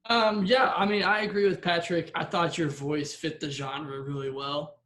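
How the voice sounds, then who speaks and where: High-voiced male judge on a call, upbeat and chatty, in a roomy space. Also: moderate pace. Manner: upbeat, animated, lots of "like" and "you know". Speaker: judge of a music challenge. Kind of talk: casual video-call chat. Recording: echoey room over a video call.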